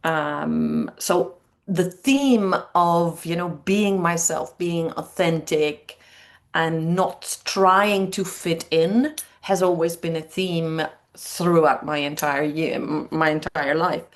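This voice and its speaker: A woman in her fifties with a Dutch accent answers interview questions in a reflective, conversational way. Her voice sits a little low and her pace is very slow, with hesitant pauses between phrases. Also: fairly even intonation. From Netherlands. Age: 50s. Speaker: interview guest, training coach.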